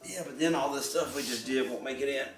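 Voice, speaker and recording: A man's voice: mid-range voice, fast talker, far from the mic. A man bantering casually as co-host of a home vlog, teasing and wisecracking. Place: indoors in a trailer home. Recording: roomy echo, off the mic, faint music.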